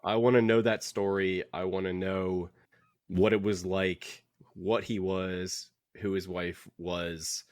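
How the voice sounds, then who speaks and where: A man's voice: low voice, flat delivery, slow, no room sound, studio quality. A man co-hosting a podcast, chatting casually and enthusiastically about books. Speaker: podcast co-host. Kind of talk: casual book club podcast chat.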